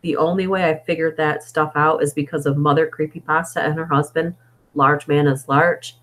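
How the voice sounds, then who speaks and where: A woman with a hoarse, fading voice, chatting casually and meandering on a live stream between story readings, on a budget desktop microphone. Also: low voice, fairly even intonation, moderate pace, a bit bright. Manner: chatty and meandering, thinking aloud. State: hoarse, losing her voice.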